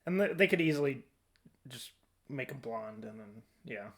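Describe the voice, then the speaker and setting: A man's voice: mid-range voice, lively intonation, moderate pace. A man hosting a casual podcast discussion, conversational and a little hesitant, often trailing off. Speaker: podcast host.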